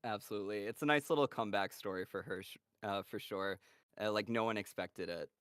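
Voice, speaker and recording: A man hosting a casual live-stream Q&A, reading out fan questions in a relaxed way with lots of "um"s. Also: fairly low pitch, fairly quick, clean tone, no room sound, clean studio sound. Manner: relaxed, filler-heavy, reads questions aloud.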